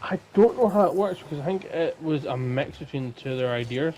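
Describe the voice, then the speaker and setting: A man's voice: on the high side, animated, brisk, mellow, low-quality recording. A man co-hosting a podcast in casual, informal conversation. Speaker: podcast co-host.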